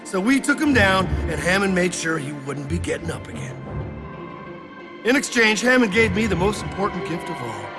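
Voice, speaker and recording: An actor playing a brash, cynical photojournalist hero in a video game, with a sarcastic, casual delivery. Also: male voice, sits high, sing-song, moderate pace, over music. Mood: wry. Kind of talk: cinematic video game acting and narration.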